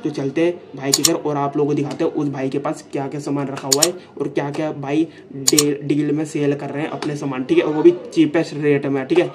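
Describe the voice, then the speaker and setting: A man's voice: fairly high pitch, level tone, a little fast, hardly any pauses, heavy background noise. A young man vlogging casually to his phone camera in a small room, talking in a chatty way and repeating the same filler words over and over. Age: young adult. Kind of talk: casual vlog talk to camera. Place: small room indoors, then a seller's home.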